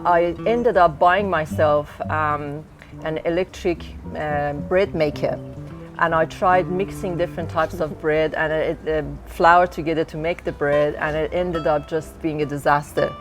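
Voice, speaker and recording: A woman from Iran, a guest talking chattily about home baking, with many ums and uhs. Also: low voice. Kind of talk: casual interview chat. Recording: music playing behind.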